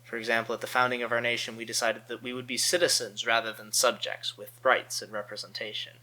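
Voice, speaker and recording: An American man hosts earnest commentary in a bright, forward voice. His pitch is middling, and he sets out each point with deliberate emphasis. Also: little pitch movement, rough recording. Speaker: host of a political commentary channel.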